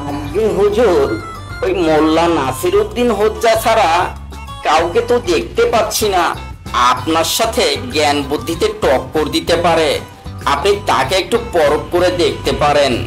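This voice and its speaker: A man's voice: on the high side, normal speed, soft background music, natural voice. A man playing a deferential village messenger in a cartoon, polite and coaxing. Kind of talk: cartoon character acting.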